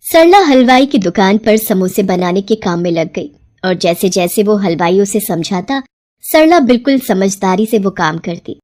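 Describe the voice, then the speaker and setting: A woman's voice: mid-range voice, lively intonation, moderate pace, darkish tone. A woman reading a scripted story as its narrator.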